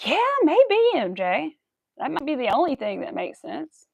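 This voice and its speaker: A woman's voice: high voice, sing-song, moderate pace, creaky, a little mellow, slightly rough, dry recording. An American woman in her early thirties chatting casually and in a rambling way on a crafting livestream. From United States. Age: early 30s. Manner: chatty, rambling, counts stitches aloud. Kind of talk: casual livestream chat while crocheting.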